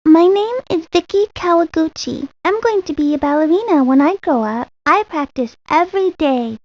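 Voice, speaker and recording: A woman's voice: very high-pitched, expressive, normal speed. A woman voicing a sweet little ballerina girl in a cartoon video game, girlish and confiding, rambling like she shares secrets. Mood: proud, sweet. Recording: dull lo-fi 1990s game audio.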